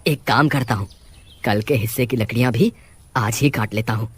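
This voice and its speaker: A man's voice: mid-range voice, moderate pace, breathy edge, lightly acted. A performer voicing a fearful, poor male woodcutter in a cartoon, stammering and nervous. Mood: worried. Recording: music behind the voice.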